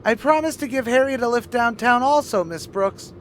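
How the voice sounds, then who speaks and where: Goofy high squeaky teen boy, old radio comedy, eager, faint car noise behind. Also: moderate pace. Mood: eager, sheepish. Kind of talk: 1950s radio sitcom acting.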